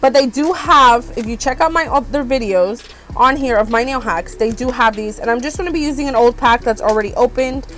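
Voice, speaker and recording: A woman's voice: a little high, a little fast, a bit bright, faint music behind. Stuffy-nosed chatty woman vlogger. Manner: chatty, rambling, many ums and "you guys". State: stuffed up from allergies. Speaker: beauty vlogger. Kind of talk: casual haul chat. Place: small RV room.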